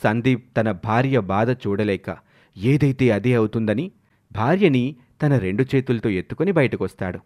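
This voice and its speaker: A male storyteller with a medium-pitched voice reads a scripted folk-style tale. His narration is dramatic, carrying the listener through a magical moral story. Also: lively intonation, moderate pace, a little mellow.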